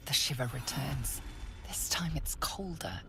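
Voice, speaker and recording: A woman playing an ominous, measured storyteller, narrating a dark fantasy video game. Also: expressive, whispered, exaggerated voice. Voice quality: low, creaky. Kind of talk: scripted second-person game narration.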